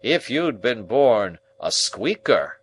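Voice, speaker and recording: A man voicing a pompous, blustering middle-aged man who lectures a boy in a loud, drawn-out, self-important way. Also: mid-range voice, very animated, slight background noise, voice pushed up, heavily acted. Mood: hectoring.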